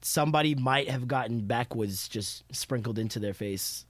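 Male co-host for a casual show: average pitch, joking, slang-heavy delivery, very little room sound. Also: normal speed, no room sound. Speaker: podcast co-host. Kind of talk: casual chat with slang and swearing.

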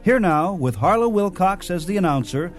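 A man hosting a radio program, reading scripted narration in a measured announcer's delivery. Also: high-pitched, lively intonation. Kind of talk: scripted narration, old-time radio presentation. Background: music.

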